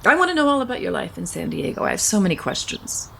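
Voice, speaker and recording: An actress playing an inquisitive, eager woman in an audio soap opera, with a creaky edge to her voice. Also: medium pitch, wildly swooping pitch, fast talker, a bit bright, full character voice. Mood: keenly curious. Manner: eager, probing.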